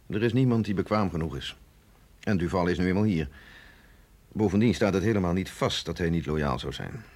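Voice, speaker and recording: Gezaghebbende dokter met krakende stem. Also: male voice, sits low, darkish tone. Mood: grave, matter-of-fact. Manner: measured, explanatory, authoritative. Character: authoritative male doctor at a secret research institute, patient and grave. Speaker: doctor. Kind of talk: radio drama acting.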